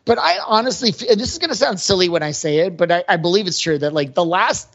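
A man's voice: high voice, animated, fast, a bit bright. A slightly muffled, lo-fi recording of a man co-hosting a podcast. He talks casually and analytically, working through his ideas aloud as he goes. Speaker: podcast co-host. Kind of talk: casual pop culture discussion. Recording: slightly dull, lo-fi audio.

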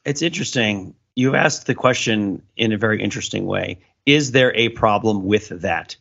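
A man's voice: fairly low pitch, normal speed, vocal fry, fairly bright tone. A man co-hosting a podcast, talking analytically and conversationally, explaining at length and building his points through examples. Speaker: podcast co-host. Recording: dull, lo-fi voice recording.

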